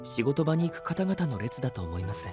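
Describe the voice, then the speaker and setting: A male actor playing a polite, observant young gentleman in an audio drama, speaking formally and considerately. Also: medium pitch, big pitch swings, fairly quick, over music, close to an everyday voice. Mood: polite concern. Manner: courteous, formal. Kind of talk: Japanese anime-style audio drama acting.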